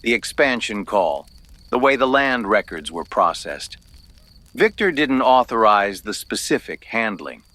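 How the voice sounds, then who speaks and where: Defiant fall guy, slow, swinging delivery. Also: fairly low pitch, big pitch swings, pushed and bright, no room sound, exaggerated voice. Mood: defiant, insistent. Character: defiant man coldly taking the blame for crimes. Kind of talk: audio drama acting.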